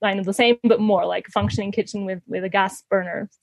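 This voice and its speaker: A woman's voice: medium pitch, fairly even intonation, quick pace, gravelly, no room sound. A woman in her thirties from Canada, chatting as an interview guest, laughing often between fillers and restarts. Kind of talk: casual conversational storytelling.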